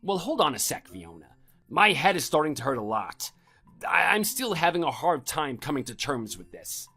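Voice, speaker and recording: Guy doing a blustering whiny husband in an audio drama, raspy, voice jumps all over, bit of music behind. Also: on the high side, very animated, normal speed, bright, forceful tone, full character voice. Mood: unsettled, pleading. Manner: indignant, blustering, pleading. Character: cheating husband, arrogant, indignant, whiny. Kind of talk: amateur audio drama acting.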